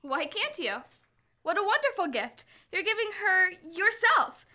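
A young woman plays a gushing, dreamy girl in a radio comedy. The voice is very high and slow, swooping through romantic lines. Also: very animated, pushed and bright, full character voice. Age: teens to young adult. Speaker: student actor. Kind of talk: old-time radio comedy acting. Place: school library room, staged performance. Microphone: stand microphone on stage.

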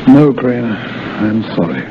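An actor playing a kindly, authoritative hospital doctor in a 1930s radio drama, measured and reassuring. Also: male voice, mid-range voice, lively intonation. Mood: frank, gentle. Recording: muffled, noisy 1930s radio broadcast.

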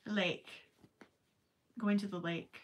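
A woman's voice: mid-range voice, fairly even intonation, slow pace, far from the mic. A young woman with a Minnesota accent chatting casually while crocheting on a livestream, rambling with joking asides. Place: small indoor craft room with yarn shelves.

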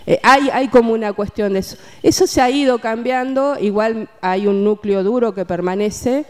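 A woman's voice: on the high side, darkish tone, clean tone. An Argentine woman in her sixties explaining reflectively in a conversational interview, outdoors on a handheld microphone, often adding short tag questions. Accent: Argentine Spanish. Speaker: interview guest, mental health worker. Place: outdoors at a table by a street.

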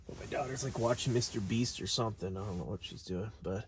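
A young man with a low voice talks loosely to a handheld camera, full of "like" fillers. He sounds casual and unhurried, as if sharing a camping night with friends. A light hiss runs under the recording. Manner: relaxed, rambling with many "like" fillers. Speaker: camping vlogger. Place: inside a parked van at night, then outdoors in a forest campsite. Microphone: phone or action camera held at arm's length.

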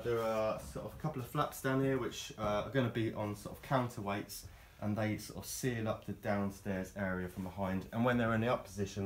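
A man giving a chatty, wry, informal guided tour in a hesitant way. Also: on the low side. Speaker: boat owner and builder giving a tour. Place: large cluttered covered deck space inside a boat. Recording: echoey boat interior with background noise.

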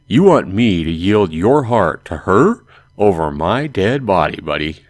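Man as cocky, animated teenage schoolboy. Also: low voice, unhurried, dry recording, exaggerated voice. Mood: taunting. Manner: brash, teasing dude talk. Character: cocky, goofy teenage schoolboy best buddy.

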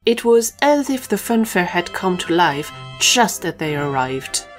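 A woman's voice: on the low side, very animated, unhurried, soft background music. A woman reading scripted narration for an audio adventure story.

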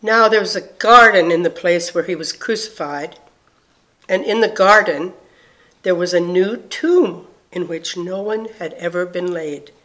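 A woman giving a measured scripture reading for a church service. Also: fairly low pitch, bright, forceful tone, rough recording.

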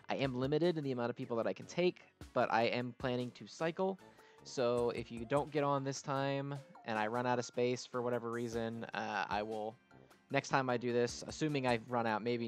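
Casual, self-deprecating man hosting gaming videos. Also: mid-range voice. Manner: informal, slangy, self-deprecating. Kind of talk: casual gameplay commentary. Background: music.

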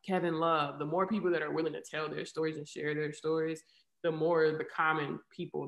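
Young woman on a video call from her living room, talking candid and thoughtful, bit of vocal fry, echoey room. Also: deep voice, fairly bright tone. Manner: candid, reflective, many fillers like "right?" and "um". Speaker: interview guest, athlete and poet. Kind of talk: casual video call interview. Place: living room at home, indoors. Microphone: video call webcam. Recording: roomy echo on a video call.

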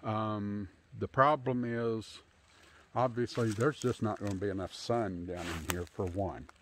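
A man in his fifties giving a casual, unscripted tour outdoors on a handheld phone, pausing often as he talks. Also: lowish voice, background noise. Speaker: homesteader hosting a channel. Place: outdoors in bare winter woods. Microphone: handheld phone at arm's length.

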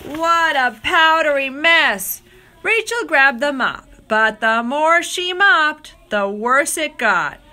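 A bright, high female voice reads a children's storybook aloud. Her delivery swings widely in pitch and stretches phrases for drama. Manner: expressive, drawn-out dramatic phrasing. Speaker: storybook reader. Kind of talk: animated read-aloud of children's picture book.

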